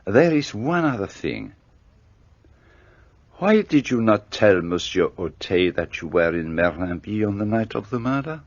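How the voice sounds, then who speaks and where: An actor playing a precise, courteous middle-aged detective in a radio play. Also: male voice, lowish voice, big pitch swings, measured pace, no echo. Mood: inquiring. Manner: courteous, precise, slightly formal foreign phrasing. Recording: dull lo-fi sound.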